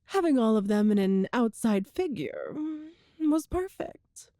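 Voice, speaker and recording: A woman performs an all-powerful evil empress in an intimate ASMR audio drama. Her voice is fairly high and velvety, recorded very dry and close. She speaks in measured, formal phrases, coolly smug and seductive, letting her pauses linger. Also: sing-song, dark tone, no echo. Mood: cold, calculating. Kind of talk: scripted ASMR roleplay monologue.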